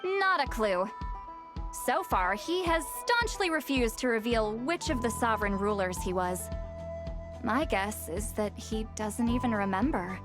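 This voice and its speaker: A proud, sharp-tongued young shaman for a video game. She talks in a wry, slightly haughty lecturing tone with a fairly high voice, over clear game music. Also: lively intonation, a little slow. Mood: explaining. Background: game soundtrack music.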